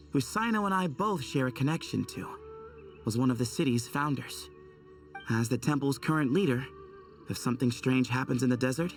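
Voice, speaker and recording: A man's voice: mid-range voice, far from the mic, lightly acted. A man playing a confident, easygoing young temple leader in a video game, casual and assured as he explains.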